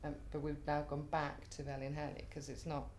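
A middle-aged speaker with a Welsh accent, whose first language is Welsh. They ramble through a relaxed interview with plenty of fillers and restarts. Their voice is gravelly and fairly even in pitch. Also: brisk, slight background noise. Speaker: interviewee, community cafe organiser.

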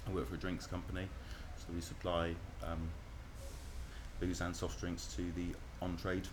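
A man in his thirties with a Northern English accent and a very low voice talks through interview answers. He pauses often, filling gaps with ums and starting phrases over. A steady hiss of background noise sits clearly under the recording. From North East England. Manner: hesitant, frequent ums and restarts.

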